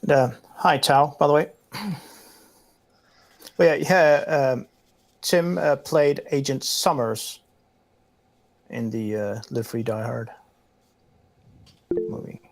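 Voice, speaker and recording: A man's voice: medium pitch, slow, gravelly, long pauses. A man from Denmark, chatting casually on a podcast panel, rambling and swearing offhandedly. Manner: rambling, looks things up aloud, swears casually. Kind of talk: casual chat.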